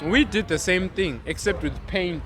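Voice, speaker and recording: A man's voice: high voice, normal speed, music in the background. A young man from Africa co-hosting a podcast, joking crudely and laughing often in a casual chat. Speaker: podcast co-host.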